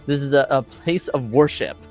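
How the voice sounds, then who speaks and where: A man's voice: medium pitch, moderate pace, darkish tone, faint music behind, lightly acted. A man voicing a stern, commanding woman of the church in a fantasy tabletop game. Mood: stern, indignant. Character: stern, authoritative revered mother, woman of the church.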